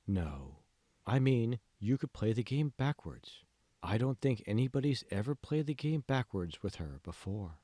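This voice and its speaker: A man playing a calm, manipulative male mentor in an audiobook, speaking slowly and deliberately with hushed, condescending questions. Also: medium pitch, dry recording. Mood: teasing, controlled. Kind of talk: dramatic audiobook dialogue.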